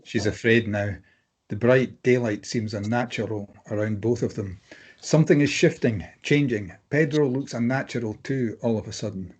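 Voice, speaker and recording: A man's voice: medium pitch, moderate pace, a little mellow, breathy edge. A man reading stage directions aloud as narrator in a scripted drama table read.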